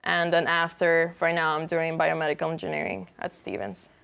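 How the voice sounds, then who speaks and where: A woman's voice: sits low, expressive, normal speed, creaky, a bit bright, smooth. A young woman from Venezuela, a student guest answering interview questions earnestly, with many fillers and restarts. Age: young adult.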